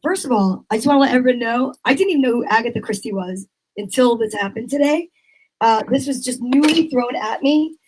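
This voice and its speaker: A woman's voice: on the high side, expressive, a little fast, a little mellow, a little hiss or hum, poor audio. A woman co-hosting a live show in casual, unscripted banter, chatty and candid.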